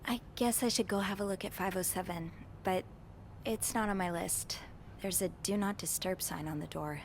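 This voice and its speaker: A woman plays a young maid who snoops around a 1950s hotel in a mystery game. She sounds earnest and polite, with a curious edge. Her voice sits at an average pitch for a woman. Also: moderate pace, slight background noise, natural voice. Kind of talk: video game cutscene acting.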